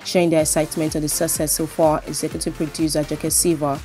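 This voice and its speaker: Low, mellow woman reporter. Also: level tone, fast talker, faint music behind. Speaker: news reporter. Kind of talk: scripted news voice-over.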